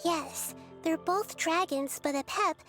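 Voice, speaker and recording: A woman voicing a wise, thoughtful childlike girl deity in a video game, explaining in a measured, reflective way. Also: extremely high pitch, fairly bright tone, heavily acted. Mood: concerned, thoughtful. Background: light background music.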